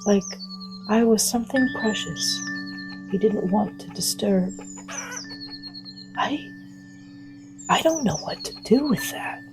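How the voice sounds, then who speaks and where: An actress playing a wild, wary young woman, speaking a reflective, hushed and halting monologue in an intimate urban fantasy audio drama. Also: mid-range voice, expressive, over music, poor audio. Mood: tender wonder.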